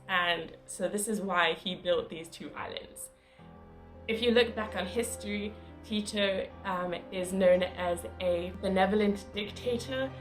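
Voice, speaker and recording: A woman narrating her travel vlog in a casual, chatty way, with small laughs and fillers. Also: medium pitch. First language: not Croatian. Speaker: travel vlogger.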